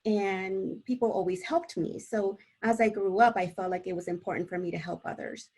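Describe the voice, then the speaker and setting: A woman's voice: mid-range voice, steady tone, normal speed, darkish tone, breathy edge. A woman from El Salvador, a native Spanish speaker, talking informally as a panelist and sharing personal experience. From born in El Salvador.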